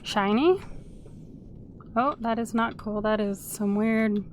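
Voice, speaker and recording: A woman's voice: a little high, slow pace, slight background noise. Sniffly woman chatting through a gameplay video. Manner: chatty, hums and sings little tunes while playing. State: sniffly from a cold. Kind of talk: casual let's-play commentary.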